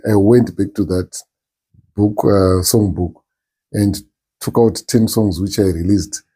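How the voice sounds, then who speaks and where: Zimbabwean man with a husky, dark voice. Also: on the low side. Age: middle-aged. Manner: thoughtful, pauses with ums and restarts. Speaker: interview guest, musician. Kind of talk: relaxed interview talk.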